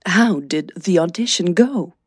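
A woman's voice: fairly low pitch, lively intonation, measured pace, darkish tone, slightly rough, no room sound, studio quality. A woman voicing an enthusiastic, affectionate middle-aged mother who encourages and teases, in an audio drama. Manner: eager, affectionate.

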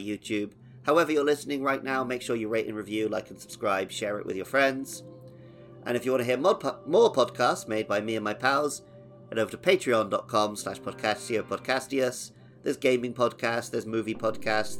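A man's voice: lowish voice, creaky. A man co-hosting a gaming podcast in a casual, chatty style. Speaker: podcast co-host.

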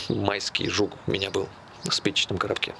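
Evasive, dryly joking ordinary guy, low-pitched with a gravelly edge, natural stage-drama delivery. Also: monotone, moderate pace, sharp tone, slight background noise, heavily acted. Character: Tolya, evasive, joking working-class man with a dog plan. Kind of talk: naturalistic stage play dialogue.

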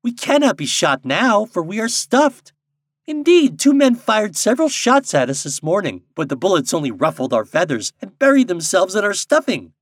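Man voicing a shrill indignant little songbird. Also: big pitch swings, normal speed, dry recording, clean studio sound. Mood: scornful, defiant. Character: indignant small songbirds, angry, argumentative, shrill.

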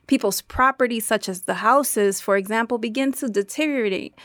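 A woman reading a dubbed translation of an interview answer in an articulate, deliberate, formal way. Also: on the high side, a bit bright, no echo, studio quality, close to an everyday voice. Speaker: voice-over translator dubbing an interview guest. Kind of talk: measured, read dubbed translation.

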